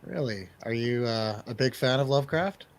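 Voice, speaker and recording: A man with a middling pitch hosts a casual radio review segment. He talks in an informal, joking way. His delivery is unscripted banter, like chatting with friends. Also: moderate pace. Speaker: radio show host and DJ.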